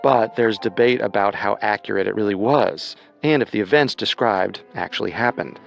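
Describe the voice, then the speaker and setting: A man co-hosting a scripted true-crime show, narrating in a measured storytelling manner. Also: on the low side, vocal fry, dull audio, soft background music. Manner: measured storytelling with rhetorical questions. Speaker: co-host narrator.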